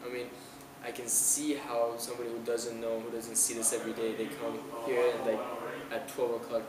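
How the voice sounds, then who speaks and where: A man's voice: medium pitch, completely flat delivery, a little fast. A young man from Iran answering questions in a casual outdoor interview, hesitant, with many restarts and fillers. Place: outdoors on an island, city nearby. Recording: roomy, noisy outdoor camera audio from a distance.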